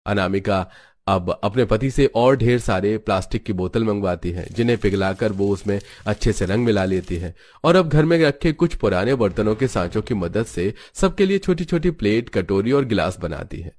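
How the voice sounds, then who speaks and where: A man's voice: lowish voice, expressive, normal speed, darkish tone. A man giving scripted narration of a story.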